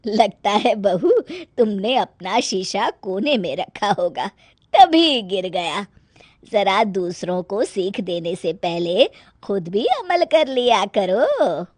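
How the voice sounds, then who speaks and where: A woman doing a calm wise mother-in-law. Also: medium pitch, dry recording, studio quality. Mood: advising. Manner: calm, slow, advising.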